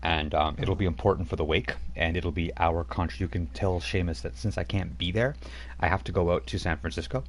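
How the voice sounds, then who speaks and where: A man voicing a helpful female werewolf mystic, talking quickly and offhandedly, dropping to a hush. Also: low voice, fairly even intonation, a little hiss or hum, natural voice.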